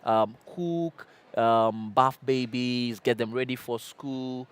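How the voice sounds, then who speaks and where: Male journalist moderator, smooth voice, hesitant with lots of fillers. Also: medium pitch, fairly even intonation, takes it slowly. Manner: hesitant, many fillers and repeats. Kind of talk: moderating a conference panel.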